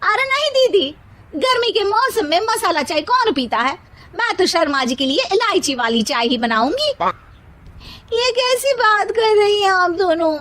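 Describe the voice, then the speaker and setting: A woman's voice: very high-pitched, animated, a little slow, fairly bright tone, pitched above the performer's own voice, exaggerated voice. A woman voicing squabbling young daughters-in-law in a cartoon story, with a whiny, touchy, complaining manner. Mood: argumentative.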